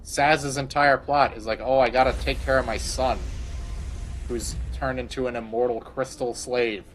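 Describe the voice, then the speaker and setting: A man's voice: mid-range voice, steady tone, normal speed, noisy background. A man streaming a game, rambling crudely in casual commentary and laughing often.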